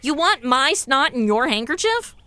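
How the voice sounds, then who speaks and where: Breezy, high, bright modern gal. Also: female voice, big pitch swings, normal speed, a little hiss or hum, exaggerated voice. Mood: matter-of-fact. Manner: flippant, casual modern slang. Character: breezy, irreverent modern young woman stranded in the past. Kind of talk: comedy audio drama acting.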